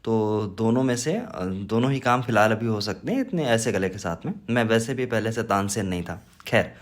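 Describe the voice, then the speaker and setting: A man's voice: fairly low pitch, lively intonation, a little fast. A man with a sore, congested throat from a cold, teaching exam material informally and often checking in with short tag questions. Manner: frequent check words like "right?" and "okay?". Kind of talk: informal lecture on current affairs.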